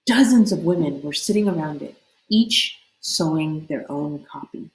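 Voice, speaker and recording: A woman reading a story aloud as an expressive narrator, with deliberate, dramatic emphasis. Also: sits low, a little hiss or hum. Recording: echoey room.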